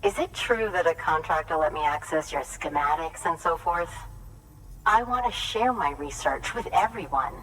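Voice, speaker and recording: Idealistic researcher, video game dialogue, earnest, declarative, slightly distant, faint background noise. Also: female voice, deep voice, lively intonation, normal speed, a bit bright. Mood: earnest, hopeful. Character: earnest, idealistic researcher keen to share knowledge.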